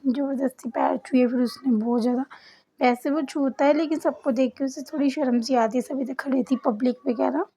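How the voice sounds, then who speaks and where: A young woman with a sore, hoarse throat, chatting to her viewers in a voice-over for her travel vlog. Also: sits high, fairly even intonation, fairly quick, dark tone, poor audio. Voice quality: husky, cracked voice. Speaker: vlogger. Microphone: voice-over recorded separately.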